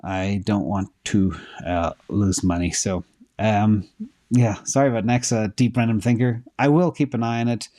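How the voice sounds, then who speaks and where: Hoarse man thinking aloud on a livestream. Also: fairly low pitch, steady tone, normal speed, mellow. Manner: thinks aloud with fillers, checking data live. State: losing his voice. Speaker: host answering viewer questions. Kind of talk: casual livestream talk.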